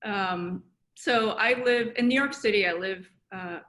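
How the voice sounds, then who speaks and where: A woman psychotherapist from South Korea, answering interview questions thoughtfully over a video call, with many pauses and fillers. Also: medium pitch, a bit bright. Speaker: guest psychotherapist. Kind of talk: reflective personal interview answers.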